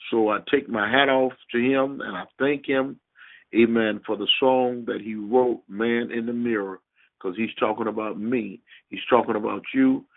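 A man preaching a sermon over a conference call, speaking emphatically and deliberately in short, halting phrases. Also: mid-range voice, fairly even intonation, gravelly. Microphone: telephone. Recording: tinny narrowband phone line.

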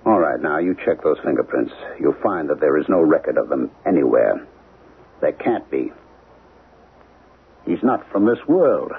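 A man's voice: rumbling low, sing-song, normal speed, long pauses, heavily acted. An actor playing an agitated, insistent man convinced of alien invaders, in a 1970s radio drama. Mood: urgent, certain. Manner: insistent, intense, hesitant when distracted. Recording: noisy, phone-like 1970s radio broadcast.

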